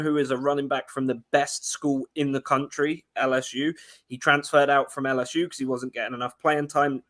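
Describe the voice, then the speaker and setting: Guest analyst: young man from England, eager and quick-talking about football, mid-pitch voice. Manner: fast, animated football breakdown with frequent restarts. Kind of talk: casual sports chat.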